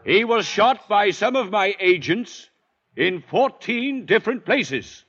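A man's voice: sits high, lively intonation, takes it slowly, a bit bright, husky, exaggerated voice. An actor playing a suave, imperious, middle-aged father guarding a secret in a vintage radio drama, with a courtly, theatrical manner. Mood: coldly commanding. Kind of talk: 1940s-50s radio drama acting. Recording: dull vintage radio broadcast recording.

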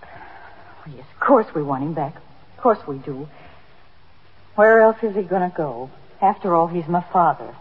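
A worried, defensive daughter in a 1950s radio play. Her voice is husky and insistent. The recording has a dull old radio sound. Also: on the low side, expressive, moderate pace, pauses often. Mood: anxious, defensive. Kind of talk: 1950s radio drama acting.

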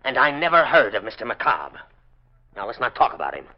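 A defensive middle-aged father blusters with indignation. He talks fast with big swings in pitch, in 1940s radio mystery acting on a dull old recording. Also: big pitch swings. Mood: indignant, defensive. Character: defensive, irritable father of a grown daughter.

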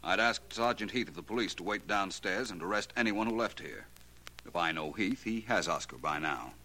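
An actor playing a suave, self-assured gentleman detective with wry, measured delivery in a 1940s radio drama. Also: low voice, slight background noise, rough recording. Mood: calm, dry. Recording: vintage 1940s radio recording.

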